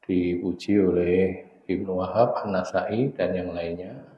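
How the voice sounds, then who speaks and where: Hoarse low-voiced man teaching in echoey room. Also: fairly even intonation. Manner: explains narrator chains in measured, teacherly asides. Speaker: hadith teacher. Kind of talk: religious study lesson. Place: mosque study room. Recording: echoey room, dull lo-fi sound.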